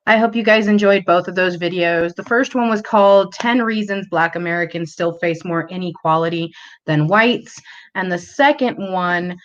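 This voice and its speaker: A woman hosting a livestream, speaking slowly and casually as she introduces the videos she is playing. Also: on the low side. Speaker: livestream host. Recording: dull lo-fi stream audio.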